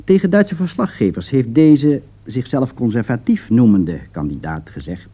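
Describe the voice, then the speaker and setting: A man's voice: medium pitch, lively intonation, normal speed, dark tone, muffled recording. A man reading a scripted political commentary in a formal, emphatic, rhetorical manner. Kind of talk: scripted broadcast commentary read aloud.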